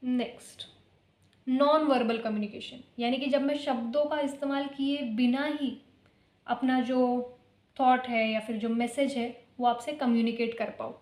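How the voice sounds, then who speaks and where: A woman's voice: on the high side, fairly even intonation, moderate pace, a little mellow, roomy sound. A young woman teaching an exam-prep lecture, explaining each point through lists of examples and switching between two languages mid-sentence. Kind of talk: exam-prep lecture mixing Hindi and English.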